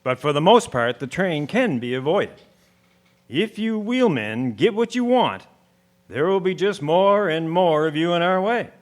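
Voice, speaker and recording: A man's voice: on the high side, animated, a little slow. A man acting a stubborn, plain-spoken rural horseman with a folksy, grumbling manner in a radio drama. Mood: stubborn, indignant.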